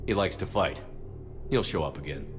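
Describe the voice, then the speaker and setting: Casting: man as firm military leader, lowish pitch, terse authority, game drama, strong score behind. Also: normal speed, music in the background, natural voice. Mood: terse, determined. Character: firm, resolute male military commander. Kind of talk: video game dramatic acting.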